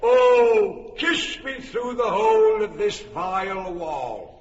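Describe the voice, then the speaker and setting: A high-pitched, grandiose amateur thespian as a lovelorn hero in a classical stage comedy. He declaims slowly and melodramatically, drawing out each exclamation. The sound is muffled and rings around a hall. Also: sing-song, heavily acted. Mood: ardent. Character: bombastic amateur actor overplaying a lovelorn hero. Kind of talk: classical comic stage verse acting. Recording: muffled, echoey hall recording.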